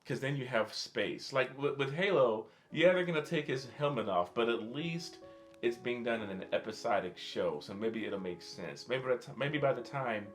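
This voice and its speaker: A man's voice: medium pitch, lively intonation, moderate pace. A man co-hosting a podcast, chatting casually and informally with the odd swear word. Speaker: podcast co-host. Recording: guitar music clearly under the talk. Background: guitar music.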